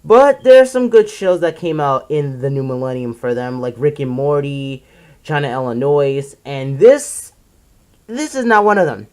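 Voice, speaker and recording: A person giving casual, opinionated commentary as a video reviewer, in an exasperated, emphatic ranting manner with drawn-out stressed words. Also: medium pitch, lively intonation, a little mellow. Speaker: video reviewer presenting a countdown list.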